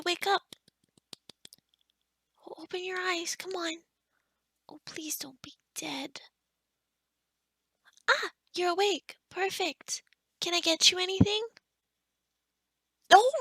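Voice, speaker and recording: A woman's voice: extremely high pitch, pushed and bright, no echo, studio quality, full character voice. A woman playing a kind, flustered young-sounding forest witch in an ASMR roleplay, stammering and often dropping to a whisper. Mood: anxious, scattered. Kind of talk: ASMR roleplay addressed to the listener.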